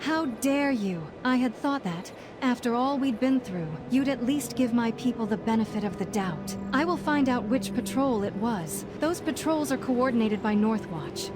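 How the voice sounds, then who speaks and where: Noble human female leader, actress, fantasy game: fairly high, earnest, indignant edge, clear music bed. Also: animated, moderate pace, few pauses, lightly acted. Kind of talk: dramatic fantasy game dialogue.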